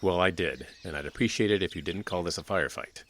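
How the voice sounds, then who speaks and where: A man's voice: low voice, quick pace, a bit bright, slight background noise. A man acting in a radio drama as a weary, authoritative small-town sheriff with an exasperated manner. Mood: firm, controlled. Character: stern, weary male small-town sheriff, war veteran.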